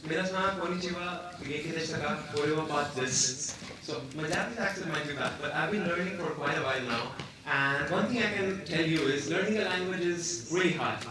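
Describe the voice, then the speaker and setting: Man pitching in an echoey hall. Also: a little fast. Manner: eager, earnest, occasional fillers. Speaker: hackathon team presenter. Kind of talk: elevator pitch to an audience. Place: large hall with an audience. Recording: echoey hall from far off with crowd.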